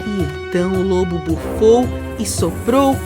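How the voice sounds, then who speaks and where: A woman's voice: mid-range voice, animated, a little slow. A woman with a Brazilian accent narrating a scripted children's story. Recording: music under the voice.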